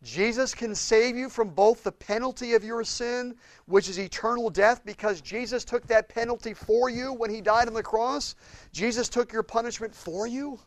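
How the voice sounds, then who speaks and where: A man preaching a sermon with impassioned swings between loud and hushed delivery. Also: extremely high pitch, normal speed, no room sound. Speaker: pastor.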